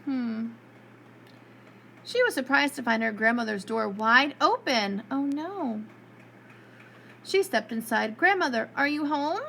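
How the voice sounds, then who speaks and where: A woman reading a storybook aloud to preschool children in an expressive storyteller's style. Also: fairly high pitch, takes it slowly, vocal fry, pauses often, a little hiss or hum.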